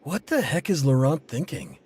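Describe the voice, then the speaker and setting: An actor playing a hot-headed, resentful pirate in an anime game, brash and scornful in delivery. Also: male voice, on the high side, sing-song, normal speed, darkish tone, husky. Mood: scornful, angry. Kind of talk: English anime game voice acting.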